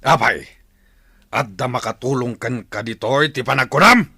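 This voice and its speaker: A man playing a cruel, taunting captor chief in a radio drama, gravelly and deliberate. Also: mid-range voice, bright, forceful tone, no echo, studio quality, heavily acted. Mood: taunting threat. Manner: taunting, slow and deliberate, laughing mockingly. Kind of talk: Filipino radio drama acting.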